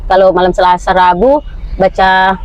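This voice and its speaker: A middle-aged woman with a North Sumatran Indonesian accent tells stories in a loose, colloquial chat. Her voice sits at an average pitch. The sound is dull and lo-fi. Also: fairly even intonation, moderate pace, clear, resonant tone, dry recording. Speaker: guest, mother of the vlogger. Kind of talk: casual storytelling chat.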